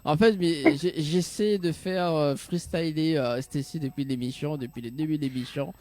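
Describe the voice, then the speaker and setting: A man's voice: a little high, normal speed, a little mellow. Playful man hosting live radio. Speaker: radio and TV host. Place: radio studio.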